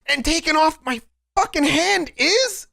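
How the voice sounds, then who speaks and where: A man's voice: extremely high pitch, lively intonation, a little slow, gravelly, sharp tone, no room sound, clean studio sound, voice pushed up, full character voice. A man voicing a pained, sullen young vampire. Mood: pained, angry. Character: cowed, wounded young male vampire, bitter but submissive.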